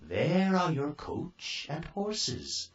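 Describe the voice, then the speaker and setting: Storybook fairy godmother, elderly and kind, performed by a man, raised voice, slow deliberate phrasing, slightly muffled recording. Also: on the high side, wildly swooping pitch, roomy sound, recorded from a distance, pitched above the performer's own voice, full character voice. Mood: gently commanding. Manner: slow, drawn-out, deliberate delivery. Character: kindly old fairy godmother, female, slow and commanding.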